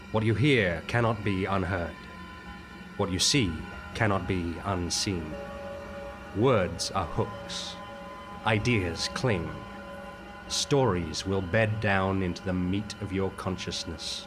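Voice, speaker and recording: A man giving an ominous, solemn scripted narration. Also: low-pitched. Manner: slow, solemn, intoning. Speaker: show narrator. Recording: over loud music.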